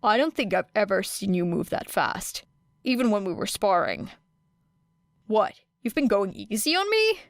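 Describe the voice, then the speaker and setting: A woman reading a fan audiobook, voicing an apathetic teenage boy who speaks flatly and curtly. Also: mid-range voice, normal speed, dry recording. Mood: dry. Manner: flat, dry, curt.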